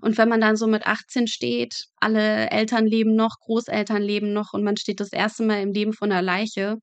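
A woman's voice: medium pitch, completely flat delivery, moderate pace, dry recording, dull audio. A woman in her twenties, a medical student being interviewed, telling vivid stories in a frank, matter-of-fact conversational way. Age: 20s.

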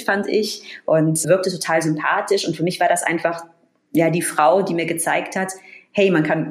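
A woman in her thirties, raised bilingual in German and English, telling her story as a guest in a casual interview with lively, animated energy and many fillers. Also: lowish voice, reverberant. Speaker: guest, astrophysicist in astronaut training.

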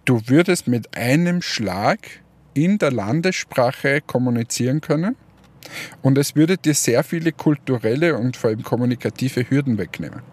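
A man co-hosting a casual podcast chat, talking in a relaxed, joking and speculative way. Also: medium pitch, moderate pace, no room sound. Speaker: podcast co-host.

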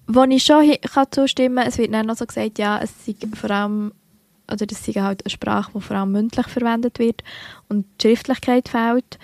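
A woman's voice: a little high, fairly even intonation, normal speed, darkish tone, no room sound. A young woman from Switzerland with a Bernese Swiss German accent, co-hosting a podcast in casual, chatty talk full of fillers and self-corrections. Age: young adult. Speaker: podcast co-host. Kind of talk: casual literary podcast chat.

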